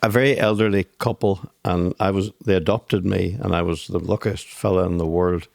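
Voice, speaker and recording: A man's voice: deep voice, fairly even intonation, normal speed, creaky, darkish tone, no echo, clean studio sound. A man in his sixties with a Donegal Irish accent, a guest telling stories in a wry way. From Ireland. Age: 60s. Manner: wry, storytelling. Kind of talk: casual interview.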